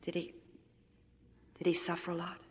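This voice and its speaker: An actress playing an earnest, plain-spoken young widow in a 1940s radio drama. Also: female voice, lowish voice, monotone, moderate pace, a little mellow, natural voice. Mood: tender, sad. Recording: reverberant, muffled, noisy 1940s radio recording.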